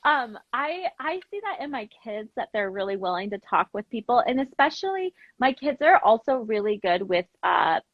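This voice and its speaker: A woman's voice: on the high side, big pitch swings, moderate pace, few pauses, no echo, highs cut off. A woman chatting informally as a guest in a casual interview, telling stories and acting out bits of dialogue. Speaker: interview guest, language program founder. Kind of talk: casual live interview chat, animated storytelling.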